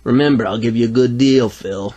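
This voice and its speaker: A man voicing a sinister, oily male tombstone dealer who talks in slow, measured sales patter for a story. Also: mid-range voice, warm tone, slightly rough, lo-fi sound, natural voice. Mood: oily, coaxing.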